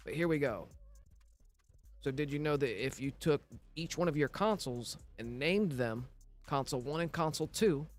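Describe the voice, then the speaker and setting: A man with a scratchy voice narrating a casual step-by-step game tutorial, walking through each step plainly. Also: medium pitch. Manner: instructional, walks through steps plainly. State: scratchy voice from recovering illness.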